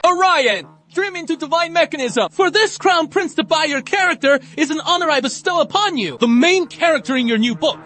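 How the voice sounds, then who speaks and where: An actor playing an arrogant young crown prince of a bird clan in an anime dub, speaking in a haughty, mocking way. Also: male voice, very high-pitched, animated, brisk, hardly any pauses, pushed and bright, faint music behind, exaggerated voice.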